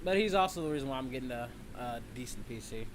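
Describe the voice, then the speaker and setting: A man's voice: medium pitch, animated, quick pace, noisy background. A man hosting a livestream, chatting casually and bantering bluntly into a streaming microphone. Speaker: livestream host.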